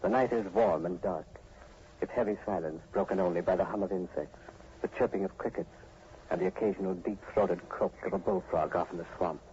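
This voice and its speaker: A man's voice: low-pitched, fairly quick, dark tone, lo-fi sound, a little hiss or hum. A man narrating a scripted 1940s radio broadcast as announcer, in a solemn, dramatic manner. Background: prominent music.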